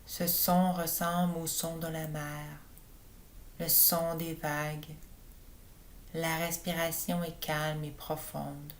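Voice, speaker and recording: Low-pitched woman guiding a sleep meditation. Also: slight background noise. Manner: slow, calm, soothing instruction. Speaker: yoga teacher leading relaxation. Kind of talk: guided meditation narration for sleep.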